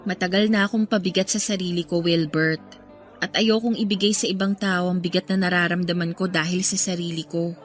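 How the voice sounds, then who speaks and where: A woman's voice: lowish voice, fairly even intonation, brisk, close to an everyday voice. A woman playing a reserved, self-deprecating young woman in a radio drama, hesitant and confiding. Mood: downcast, resigned. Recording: music behind the voice.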